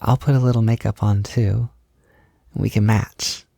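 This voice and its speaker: A man playing a gentle, affectionate boyfriend in an intimate roleplay audio, speaking softly and soothingly, with unhurried reassurance. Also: on the low side, steady tone, gravelly, no echo, clean studio sound. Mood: tender, soothing. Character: gentle, affectionate boyfriend comforting his insecure partner. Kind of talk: intimate roleplay audio, soft-spoken ASMR.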